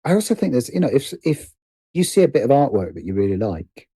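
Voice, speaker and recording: Male interview guest, very soft dark tone, thoughtful stop-start conversational delivery, close dry sound. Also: medium pitch, sing-song, fast, husky, no echo. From United Kingdom. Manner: hesitant, repeats and rephrases phrases as he thinks. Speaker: guest, writer and producer.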